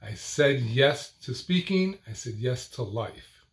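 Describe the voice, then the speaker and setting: An older man talks straight to the webcam in a small room at home. His pace is unhurried and measured, with deliberate pauses between short phrases and an occasional repeated word. His pitch sits in an ordinary middle range. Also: animated. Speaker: host of a video series on stuttering. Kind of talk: personal talk to camera.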